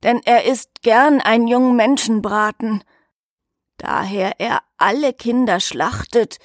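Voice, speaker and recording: A woman playing an old woman, the ogre's wife, who warns and commands in measured phrases in a fairy-tale audio drama. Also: a little high, no room sound, studio quality. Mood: grudging warning. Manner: slow, deliberate, measured phrasing.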